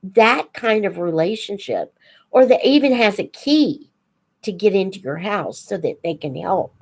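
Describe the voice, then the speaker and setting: An older woman chatting casually as a live host for young viewers, in an unhurried, reflective, folksy manner. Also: mid-range voice, animated, creaky, a little mellow, slightly rough. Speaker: host of a children's book read-aloud channel.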